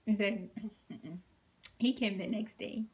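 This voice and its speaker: A young woman telling a story in a casual, animated way, acting out quotes and dropping into whispered asides, her voice deep and tired after a workout. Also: slow. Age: young adult. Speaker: vlogger.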